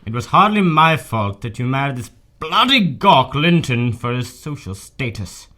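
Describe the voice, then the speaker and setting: A man's voice: mid-range voice, sing-song, normal speed, sharp tone. A man playing a surly, vengeful, brooding man of the moors in a radio drama, cursing with contempt. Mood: bitter, contemptuous.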